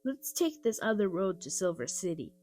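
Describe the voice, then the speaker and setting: A woman's voice: fairly low pitch, big pitch swings, moderate pace, a little mellow. Woman doing a big brother kid from a storybook, upbeat, takes charge, music behind. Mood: bright, pleased. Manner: reassuring, instructing. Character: responsible, cheerful, protective older brother, a boy. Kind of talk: children's storybook character voice.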